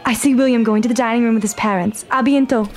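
Actress as a fast-talking, breezy schoolgirl. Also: on the high side, fairly even intonation, faint music behind, lightly acted. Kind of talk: period radio drama acting.

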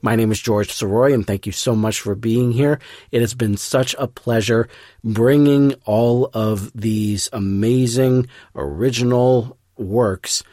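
A man introducing an audio drama as podcast host, speaking in an earnest, effusive and somewhat halting way. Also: mid-range voice, mellow, no echo, clean studio sound. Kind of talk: scripted-loose podcast introduction.

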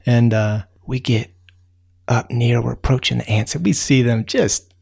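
A man's voice: mid-range voice, unhurried, vocal fry, darkish tone, husky. A man voicing a friendly, chatty raccoon who rambles through a bedtime tale with many fillers. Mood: easygoing. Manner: rambling, folksy, lots of fillers. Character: friendly chatty male raccoon, easygoing storyteller. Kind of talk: bedtime storytelling.

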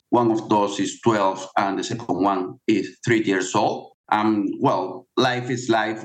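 A man's voice: fairly low pitch, low-quality recording. Earnest middle-aged man, second-language English. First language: not English. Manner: earnest, explanatory. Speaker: guest author. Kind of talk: interview answers.

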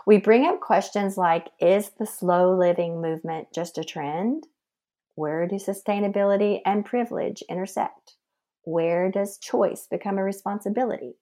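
A woman's voice: mid-range voice, normal speed, warm tone. A woman narrating a scripted podcast introduction as a co-host.

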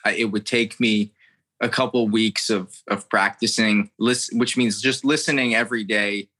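A man's voice: on the low side, fairly even intonation, normal speed, bright, forceful tone. A man in his thirties from the United States, an impressionist telling his story in an earnest, rambling way. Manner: earnest, rambling, repeats words. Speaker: impressionist guest. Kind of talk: interview storytelling.

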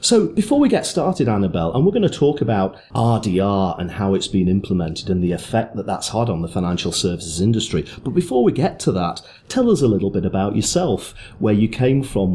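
A man's voice: fairly low pitch, big pitch swings, brisk, few pauses, mellow. A man hosting a podcast, reading an upbeat promotional intro and then chatting with his guest in her office. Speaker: podcast host. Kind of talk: scripted intro then interview chat.

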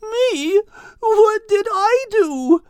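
A man's voice: very high voice, expressive, extremely slow, darkish tone, no echo, studio quality, voice pushed up, heavily acted. A man voicing a timid, easily panicked animal in an audiobook, plaintive and wailing. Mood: bewildered, tearful. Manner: plaintive, wailing, simple-hearted.

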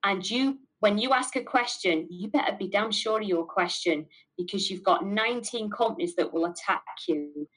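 A woman's voice: mid-range voice, normal speed, hardly any pauses, a bit bright, poor audio. A middle-aged woman with a Mancunian accent, a business owner answering interview questions candidly and stressing points by repeating words. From north of England.

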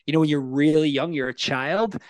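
A man in his thirties with a Northern Irish accent, telling his story as a coaching guest in an earnest, energetic way. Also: on the high side, a little fast, dry recording. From Northern Ireland. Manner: energetic, earnest, asks the listener questions. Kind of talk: casual interview storytelling.

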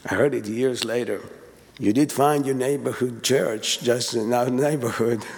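A man with an Israeli accent speaks at a memorial, feeling his way through his English with pauses and restarts. His mid-range voice rings in a church sanctuary with long reverb. The tone is plain and conversational. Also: mid-range voice, creaky, darkish tone. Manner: halting, with fillers and restarts. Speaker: church member giving a eulogy. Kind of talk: unscripted memorial remarks. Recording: reverberant hall.

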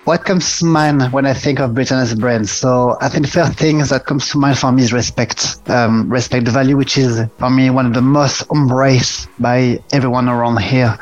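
A man's voice: medium pitch, steady tone, normal speed, hardly any pauses. A man from France with a French accent giving an informal recorded opinion in English as a second language. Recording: dull audio with music behind.

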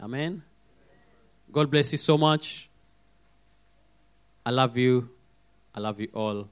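A man with a hoarse voice preaching a sermon, calling out for amens and acting out little quoted voices as he goes. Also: mid-range voice, takes it slowly. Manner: lively, calls for amens, acts out quoted voices.

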